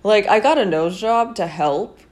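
A woman's voice: medium pitch, expressive, measured pace, darkish tone. A young woman hosting a podcast, chatting casually about fashion with a congested, nasal voice and lots of fillers. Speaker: podcast host.

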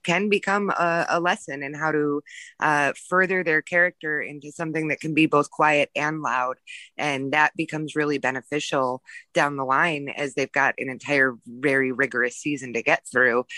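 A man who manages haunt actors, explaining his training in a thoughtful, measured way. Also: on the high side, fairly even intonation, bright, forceful tone, dry recording.

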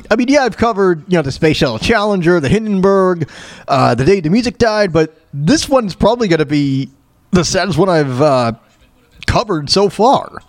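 Animated high-pitched man, rambling casually. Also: normal speed, dry recording. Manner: rambling, repeats phrases, chuckly. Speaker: podcast host. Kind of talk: casual chat, reacting to a video.

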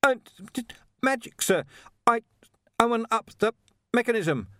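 A man's voice: high voice, lively intonation, normal speed, no room sound, clean studio sound, voice pushed up. A man voicing a nervous, deferential academic wizard who stammers and hesitates apologetically, in a storybook reading. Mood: flustered. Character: nervous, deferential male academic wizard, junior to his chief.

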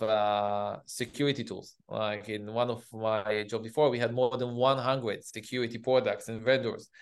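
A man from Israel with an Israeli accent, explaining things as an interview guest in halting, hesitant phrases. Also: mid-range voice. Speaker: guest, cybersecurity company CEO.

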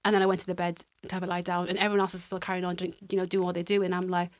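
A woman's voice: fairly low pitch, level tone, rapid-fire, dry recording. A woman, a podcast guest, talking in a bubbly, jokey way and chiming in often. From Wales. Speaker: podcast guest, dance student. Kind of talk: casual chat.

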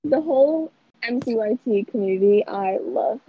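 A young woman chatting casually on a podcast, flustered and halting, repeating words, through a dull lo-fi microphone. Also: on the high side, expressive, a crowd in the background. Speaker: podcast co-host. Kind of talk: casual group chat.

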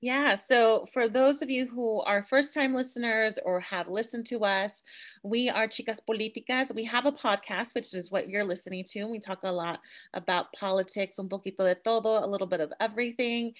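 A woman co-hosts a relaxed podcast in an upbeat, talkative way. She slips easily between English and bits of Spanish as she goes. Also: medium pitch. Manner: animated, chatty, code-switches into Spanish. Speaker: podcast co-host. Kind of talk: casual podcast chat. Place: Central Coast, California.

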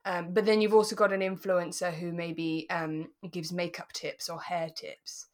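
A woman's voice: fairly low pitch, level tone, normal speed. A British woman co-hosting a podcast in a casual, chatty style, speaking informally and with emphasis. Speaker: podcast co-host.

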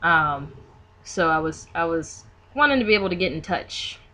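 A woman's voice: sits low, lively intonation, moderate pace, pushed and bright. A woman podcast guest chatting casually and informally, thinking aloud as she goes. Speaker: podcast guest, beauty business owner. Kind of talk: casual conversational chat.

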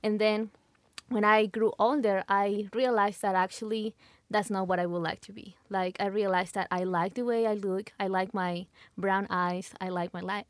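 A woman's voice: mid-range voice, no echo. A young Peruvian woman with a Peruvian Spanish accent, a guest chatting casually and sometimes hunting for English words. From Peru. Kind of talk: casual chat.

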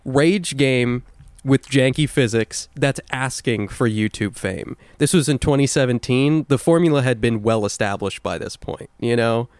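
A man's voice: medium pitch, measured pace, clean tone, no echo. A young man co-hosting a casual video game podcast, opinionated and swearing freely. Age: young adult. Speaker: podcast co-host. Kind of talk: casual chat about video games.

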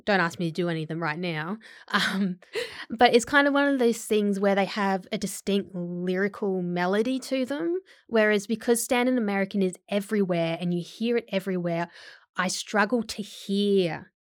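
Australian woman, mid pitch, dry sound, self-deprecating, laughs a lot. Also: normal speed, no room sound. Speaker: actor being interviewed. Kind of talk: casual interview chat.

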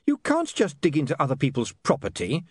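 A man's voice: fairly high pitch, huge pitch swings, fairly quick, husky, no room sound, studio quality, pitched above the performer's own voice, exaggerated voice. A man voicing an earnest, principled young newspaperman in an audiobook, speaking precisely, formally and with some exasperation. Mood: exasperated.

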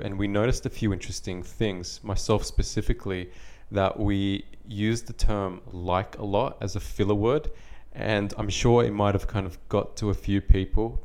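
A man's voice: fairly low pitch, darkish tone. A man from Australia co-hosting a podcast, chatting in a friendly, reflective way. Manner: friendly, reflective, easygoing storytelling. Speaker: podcast co-host. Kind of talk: casual podcast chat.

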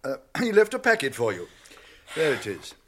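Wise old wizard, british, radio drama, cryptic and watchful, voice moves up and down a lot. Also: male voice, mid-range voice, wildly swooping pitch, fairly bright tone, a little hiss or hum. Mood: kindly. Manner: measured, cautionary, cryptic phrasing.

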